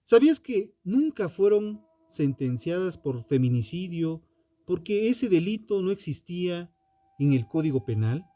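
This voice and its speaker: Male true crime host, slightly high-set voice but mellow and dark, solemn, dramatic scripted read in a dry studio sound. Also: big pitch swings, dry recording. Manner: solemn, deliberate, ominous rhetorical delivery.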